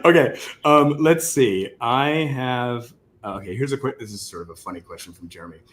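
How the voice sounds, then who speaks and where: A man's voice: medium pitch, expressive, a little fast, a bit bright. A man hosting a casual live interview over an online call, feeling his way through questions in a hesitant, rambling manner. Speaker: interview host.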